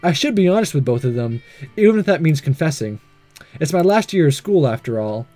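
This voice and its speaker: A man voicing an earnest, nervous, lovestruck male high school student in a visual novel, speaking hesitantly. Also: big pitch swings, a little fast, mellow, faint music behind. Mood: earnest, pondering. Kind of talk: reading visual novel dialogue aloud.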